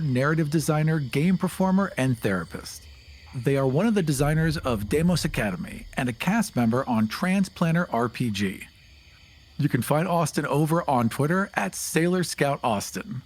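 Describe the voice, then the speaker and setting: A podcast co-host introducing a guest and chatting with enthusiasm and frequent laughter. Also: male voice, on the high side, animated, moderate pace, slightly rough. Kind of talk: casual chat.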